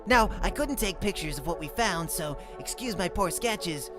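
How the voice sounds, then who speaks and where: A performer voicing an earnest, bookish young male cup creature in a comic dub, lecturing precisely and excitably. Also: medium pitch, normal speed, music in the background, natural voice. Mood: explanatory.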